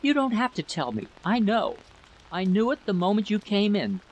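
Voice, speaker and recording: A man's voice: high-pitched, sing-song, moderate pace, voice pushed up. A man voicing an anxious, bitter wife in a story reading, pleading emphatically over a noisy recording. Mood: sad, accusing. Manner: pleading, emphatic, repeating questions.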